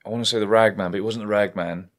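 A man's voice: fairly low pitch, fairly even intonation, racing, fairly bright tone. A man, hosting a casual chat in a wood-panelled study and swearing freely as he rambles through stories. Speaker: podcast host.

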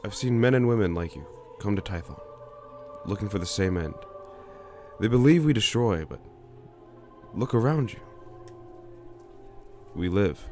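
A man playing a calm, cryptic older monk-like sage in a sci-fi audio drama, measured, knowing and gentle. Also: lowish voice, soft background music. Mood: serene, cryptic.

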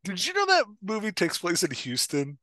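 A man in his forties with a very lively, swooping delivery and a bright, forceful voice. He banters casually as a podcast host, joking at his own expense, close and dry on a headset mic. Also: high-pitched, normal speed, no room sound. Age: 40s. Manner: jokey, self-deprecating. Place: indoor room, on a video call.

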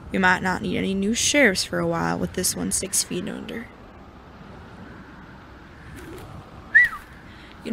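An actor playing a cocky, foul-mouthed young sheriff in a Western audio drama, with a creaky voice and folksy, combative frontier talk. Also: mid-range voice, fairly bright tone, a little hiss or hum. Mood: gruff, smug.

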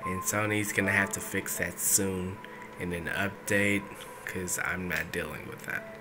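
A man giving a casual, unscripted step-by-step tech tutorial, speaking informally with hesitant pauses, recorded indoors on a handheld camera. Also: low voice, fairly even intonation, background noise. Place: indoors, small dim room at a desk setup. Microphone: handheld camera or phone mic.

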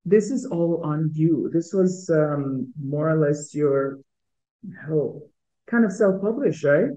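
A woman's voice: sits low, expressive, slow, dark tone. A woman, interviewing casually as host over a video call. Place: room at home on a video call. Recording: dull video-call audio.

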